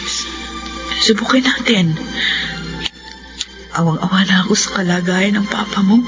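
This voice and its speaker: A devoted, anxious Filipino wife and mother in middle age, played by an actress, with a medium-pitched voice. She pleads and consoles in radio drama style, in a dull recording with music behind. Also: unhurried, lightly acted. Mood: anxious, consoling.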